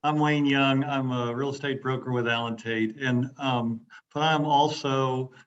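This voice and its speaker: A man giving casual remarks as a chamber ambassador on a video call, halting, with many fillers and restarts. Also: medium pitch, fairly even intonation. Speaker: real estate broker and chamber ambassador. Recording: dull lo-fi call audio with faint noise.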